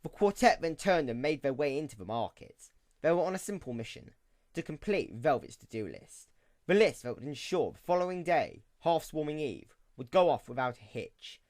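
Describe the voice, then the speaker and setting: A man's voice: fairly high pitch, very animated, normal speed, a little mellow. A man reading scripted story narration for an audio drama.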